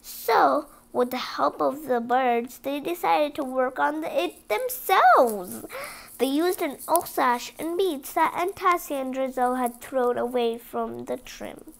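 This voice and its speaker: A woman's voice: sits high, animated, takes it slowly, vocal fry. A woman reading a storybook aloud for children, expressively, with chatty asides to her young listeners. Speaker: storybook reader.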